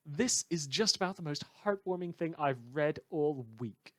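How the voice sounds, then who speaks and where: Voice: medium pitch, dry recording, natural voice. Someone playing a mild earnest radio host, kinda rambly and hesitant, lots of ums, super dry sound. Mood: grateful, hesitant. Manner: hesitant, rambling, with hums and trailing pauses. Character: earnest, mild radio show host reading listener letters. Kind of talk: fictional evening radio broadcast, fan audio drama.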